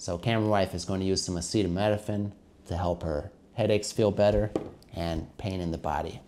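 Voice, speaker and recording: Congested man, low voice. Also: steady tone, fairly quick, darkish tone, slight background noise. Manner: chatty, keeps addressing viewers as guys. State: congested with a cold. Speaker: English teacher vlogger. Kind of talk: casual explanatory vlog to learners.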